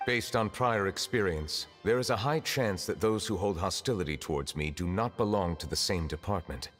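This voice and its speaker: A man voicing a dignified, reserved chief judge in a fantasy game, speaking formally in a grave, measured, courteous manner. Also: low voice, close to an everyday voice. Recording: reverberant hall, faint background music.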